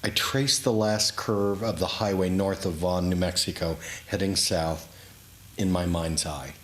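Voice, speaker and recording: A man giving a dramatic literary reading of his own memoir, in deliberate, measured short phrases. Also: low voice, steady tone, a little hiss or hum. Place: room in a historic house, live event. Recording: echoey room, live reading.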